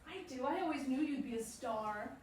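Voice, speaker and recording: A woman's voice: high voice, expressive, moderate pace, darkish tone. A woman acting an affectionate, teasing young girlfriend in a staged play reading. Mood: tender, teasing. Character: affectionate young girlfriend; also sports interviewer. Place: stage before a room, far microphone. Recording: very echoey distant stage recording with noise.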